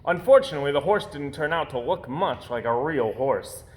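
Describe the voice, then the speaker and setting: Animated higher-voiced man reading a storybook. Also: moderate pace. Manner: theatrical emphasis on key words. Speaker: reader of a children's picture book. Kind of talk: expressive read-aloud storytelling.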